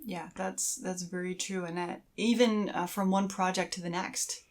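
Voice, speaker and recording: A woman's voice: on the low side, fairly even intonation, moderate pace. A middle-aged woman co-hosting a podcast in a casual, conversational way. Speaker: podcast co-host.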